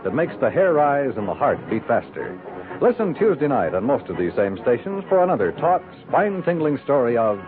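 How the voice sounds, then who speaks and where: A man's voice: medium pitch, sing-song, brisk. Old time radio announcer guy, big swooping formal delivery, music behind, thin sound. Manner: formal, dramatic enunciation. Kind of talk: scripted 1950s radio announcing. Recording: narrow-band 1950s radio broadcast.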